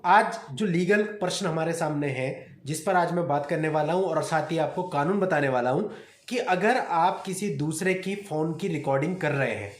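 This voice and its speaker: A man giving an explanatory legal talk in a didactic way, often addressing his listeners directly as an advocate presenting to his audience. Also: high voice. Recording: echoey, roomy sound.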